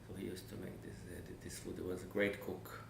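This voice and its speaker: Low, gravelly Israeli man, halting speech. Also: monotone. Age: 40s. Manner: halting, searching for words, repeats word beginnings. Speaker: interviewee sharing family history. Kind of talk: personal oral-history recollection. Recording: echoey room with faint background noise.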